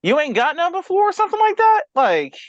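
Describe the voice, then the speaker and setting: A man's voice: very high voice, huge pitch swings, fairly quick, no echo, muffled recording. A man on a podcast panel, joking and teasing in casual group banter.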